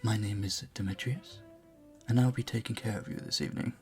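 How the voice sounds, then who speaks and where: A man's voice: fairly low pitch, steady tone, fairly quick, a little mellow, soft background music, lightly acted. An actor playing a charming, flirtatious vampire bartender in an intimate audio roleplay, suave and teasing as he speaks to the listener. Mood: welcoming, smooth.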